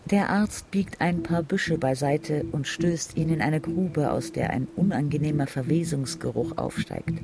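Gravelly male narrator, higher-pitched. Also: unhurried, hardly any pauses. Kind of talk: literary scripted narration for radio drama.

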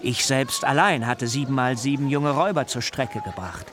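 A man's voice: mid-range voice, close to an everyday voice. An actor playing a boastful, plaintive male wanderer who tells of his misfortunes in a theatrical, declamatory way for a radio drama. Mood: grandiose, dramatic storytelling. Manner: theatrical, declamatory, drawn-out lamenting. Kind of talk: literary radio drama acting.

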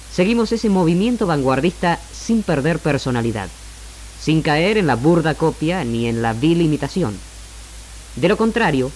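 A man with an Argentine accent delivers a scripted radio monologue in a measured, formal, rhetorical manner as the host. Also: a little high, darkish tone, a little hiss or hum, low-quality recording. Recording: 1969 AM radio archive, music bed. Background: music.

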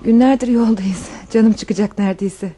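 An actress playing a wealthy, tender countess in love, in a radio drama. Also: female voice, mid-range voice, steady tone, normal speed, dark tone, lightly acted. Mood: relieved, chatty. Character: wealthy countess fleeing revolution, tender and devoted lover.

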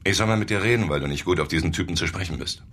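An actor in a German radio drama playing a streetwise newspaper reporter with a casual, probing, laconic way of talking. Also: male voice, sits low, very fast, a bit bright, a little hiss or hum. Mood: probing, dry.

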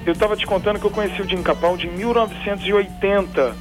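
A man from Rio with a high, quick voice tells animated, joking stories as a radio guest. Music runs clearly under him. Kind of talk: casual radio chat.

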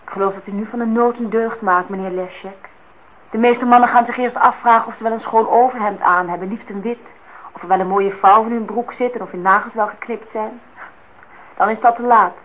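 Casting: young woman played by an actress, fairly high voice, cheeky and unimpressed, radio drama on a narrow, hissy old recording. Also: fairly even intonation, fairly quick, lightly acted. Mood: mocking. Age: young adult. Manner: pointed questioning, mocking formal address. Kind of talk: Dutch radio play acting.

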